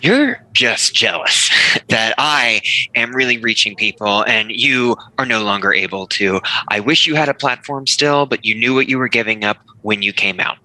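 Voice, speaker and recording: Self-assured preacher on the defensive played by a man, very bright, tense mid-pitched voice, table read drama. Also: moderate pace, poor audio.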